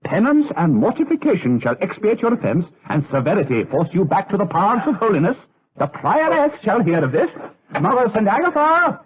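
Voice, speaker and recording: A stern abbot in gothic radio drama. His voice is very husky and swings dramatically in pitch as he declaims with righteous gravity. The recording is tinny and band-limited. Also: sing-song, moderate pace, exaggerated voice. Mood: outraged, stern. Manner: grave, theatrical, declamatory.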